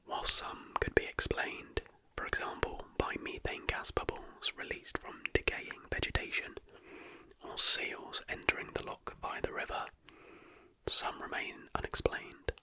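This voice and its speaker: An ASMR host reading a script in a soft whisper, with careful, deliberate phrasing. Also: poor audio.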